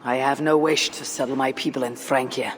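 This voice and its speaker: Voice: medium pitch, fairly even intonation, brisk, a little hiss or hum, lightly acted. An actor as a composed Viking warrior in a video game. The delivery is formal and blunt, in a reverberant space with faint ambience. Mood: firm. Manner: formal, direct. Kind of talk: dramatic video game acting.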